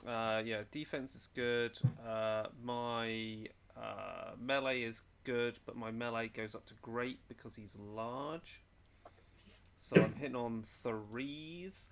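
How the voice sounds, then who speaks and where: Slow, creaky-voiced man thinking aloud. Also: mid-range voice, fairly even intonation, fairly bright tone. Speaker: player in a tabletop game. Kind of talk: casual game chat.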